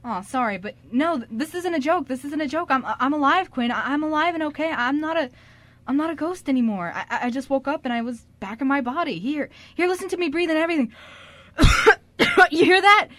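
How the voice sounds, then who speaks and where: A woman's voice: high voice, expressive, brisk, a bit bright, dry recording. Stammering, earnest young man played by a woman. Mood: excited, reassuring. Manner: stammering, hesitant. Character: earnest flustered young man, revived ghost. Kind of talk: amateur radio drama acting.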